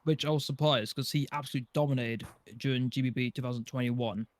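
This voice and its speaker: A man's voice: mid-range voice, fairly even intonation, fast talker, no echo. A man giving his opinions in casual chat as a panelist on a podcast.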